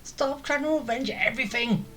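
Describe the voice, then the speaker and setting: A woman's voice: high voice, normal speed, fairly bright tone, a little hiss or hum. A woman streaming a game, casually commenting and answering the characters. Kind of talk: casual commentary and reading along while gaming.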